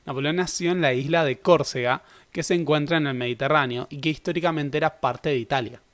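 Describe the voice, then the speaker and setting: A young man teaches history in a relaxed, chatty way. His voice sits somewhat high for a man. He often stops to correct himself and drops in jokes as he goes. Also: fairly quick. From Argentina. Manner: conversational, self-correcting with joking asides. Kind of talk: casual history lecture.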